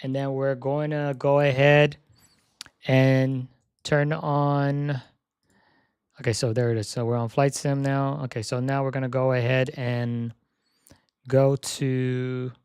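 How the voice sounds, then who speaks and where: A young man live-streaming a flight sim session, chatting casually and thinking aloud as he sets up, his voice slightly hoarse. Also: medium pitch, flat delivery, takes it slowly, darkish tone, no echo, clean studio sound. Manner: repeats "yep" while checking items.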